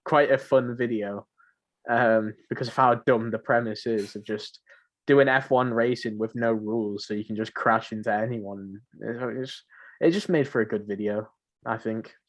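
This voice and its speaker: A man's voice: on the low side, steady tone, normal speed. A young man chatting casually as a podcast co-host, rambling and often repeating his phrases. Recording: dull lo-fi voice mic.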